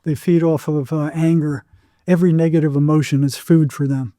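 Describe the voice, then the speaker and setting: Very mellow-voiced man giving an interview. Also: a little high, level tone, fairly quick, dry recording, studio quality. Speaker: psychotherapist, podcast guest. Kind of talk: anecdotal interview talk.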